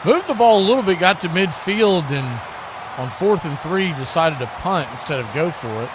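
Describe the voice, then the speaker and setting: A man's voice: a little high, expressive, normal speed. A man calling live football play-by-play as a radio announcer. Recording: clearly noisy background hiss and ambience.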